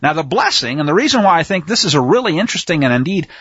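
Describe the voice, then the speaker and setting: Animated, husky-voiced man preaching scripture. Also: fairly high pitch, racing, no echo, lo-fi sound. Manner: emphatic, sarcastic asides, frequent restarts. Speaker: Bible teacher. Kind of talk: folksy scripture commentary.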